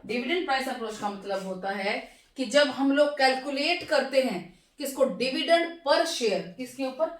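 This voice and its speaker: A hoarse woman teaching finance in a classroom-style lecture, asking questions and answering them herself and slowing down over English terms. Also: high voice, lively intonation, distant mic. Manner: slows down to spell out English terms. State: hoarse, sore throat. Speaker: economics teacher. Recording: echoey room, voice from off mic.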